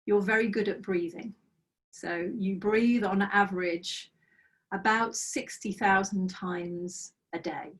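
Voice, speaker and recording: A middle-aged woman leading a guided mindfulness practice over a video call, speaking slowly and soothingly with gentle pauses, her voice slightly croaky. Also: mid-range voice, steady tone, far from the mic. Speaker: mindfulness trainer. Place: small office with bookshelves, indoors. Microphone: laptop webcam on a video call.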